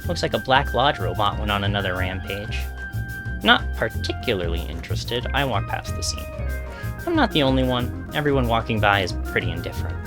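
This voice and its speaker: Low-voiced man narrating over loud music. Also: expressive, a little fast. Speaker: streamer reading a visual novel aloud. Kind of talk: dramatic first-person narration read live. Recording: loud game music behind the voice.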